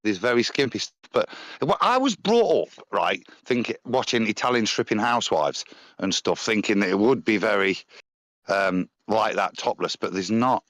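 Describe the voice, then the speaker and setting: A man's voice: lively intonation, normal speed, a bit bright, no echo. A man with a Nottingham accent chatting casually on a live panel, telling rambling stories. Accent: Nottingham English. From England. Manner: chatty storyteller with broad pitch swings and impressions. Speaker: panel guest. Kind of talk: casual chat on a live stream.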